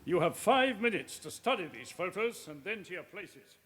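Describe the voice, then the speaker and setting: An actor playing a senior police officer delivering a formal, clipped, authoritative briefing in a radio drama. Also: male voice, sits high, lively intonation, normal speed, fairly bright tone. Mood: grave, authoritative. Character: commanding male senior police officer briefing his men.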